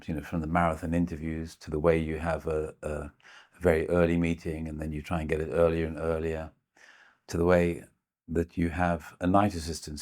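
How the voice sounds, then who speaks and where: Man, interviewer, very deep voice, probing, loose run-on sentences, conversational. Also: darkish tone.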